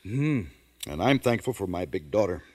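A man's voice: deep voice, very animated, moderate pace. A genial teasing middle-aged father. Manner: genial, gently teasing, paternal. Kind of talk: 1940s radio drama acting.

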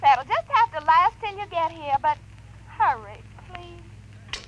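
A coy, flirtatious blonde from a vintage radio comedy, coos and gushes possessively. Her voice is very high and bright, with faint noise behind it. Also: sing-song, takes it slowly, heavily acted. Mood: coy, clingy. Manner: cooing, coy, breezy. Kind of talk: 1940s-50s radio comedy acting. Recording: noisy old radio recording.

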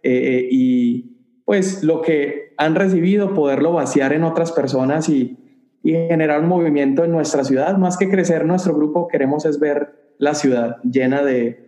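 A youth pastor from Colombia answering interview questions in an earnest, animated way. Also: male voice, on the high side, unhurried. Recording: roomy echo.

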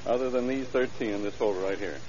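An actor playing a matter-of-fact male police detective in an old radio drama, laying out facts in a dry, deliberate way. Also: medium pitch, a little mellow, close to an everyday voice. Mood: businesslike. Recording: muffled, hissy old radio recording.

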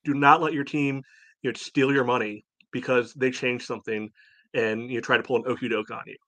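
A man's voice: mid-range voice, steady tone, fairly quick, pushed and bright, no room sound. Male sports podcast host, opinionated and emphatic, peppers speech with "you know", dry recording. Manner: emphatic, blunt, indignant; frequent "you know" filler.

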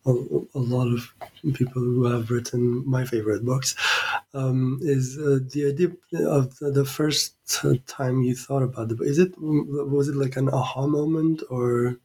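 Mellow, husky-voiced male host. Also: medium pitch, fairly even intonation. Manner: halting, many fillers. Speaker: podcast host. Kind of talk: interview questions.

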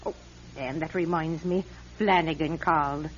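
An actress playing a fussing housekeeper in a radio drama, bustling along. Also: female voice, low voice, fairly even intonation, normal speed, breathy edge. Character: fussing, hospitable Irish priest's housekeeper woman. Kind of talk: old-time radio drama acting. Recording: muffled vintage radio recording with music.

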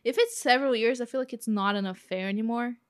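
A woman's voice: on the high side, fast, smooth, no room sound, studio quality. A young woman with a Brazilian accent co-hosting a true-crime podcast, chatting casually with sarcastic asides. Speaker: podcast co-host.

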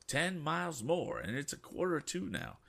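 A man reads an excitable eccentric old gent, pitched way up, gravelly, swinging wildly in tone. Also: moderate pace, full character voice. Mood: excited. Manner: fussy exclamations. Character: eccentric excitable older gentleman.

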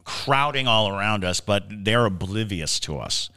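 Male podcast co-host, very low pitch, crisp forceful edge, casual storytelling, deliberate and halting delivery, dry close sound. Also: lively intonation, no echo.

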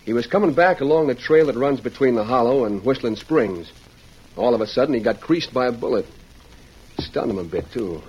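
An actor playing a plainspoken frontier sheriff with a rustic American drawl, in old-time radio Western style. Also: male voice, mid-range voice, lively intonation, a little fast, a little mellow, breathy edge. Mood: matter-of-fact. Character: Western frontier town sheriff, plainspoken, folksy, steady male lawman. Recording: muffled, noisy vintage radio recording.

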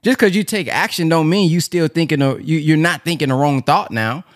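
A man's voice: fairly high pitch, a little fast, no echo, studio quality. Conversational man adding a point. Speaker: podcast host. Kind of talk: casual discussion.

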